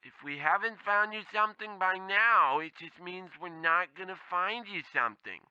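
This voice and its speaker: A man's voice: sits high, lively intonation, moderate pace, sharp tone, smooth, highs cut off, exaggerated voice. A man playing a blithely tactless temp agency clerk who rambles and bumbles in a comedy radio play. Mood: bluntly discouraging. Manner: rambling, bumbling, bluntly insensitive.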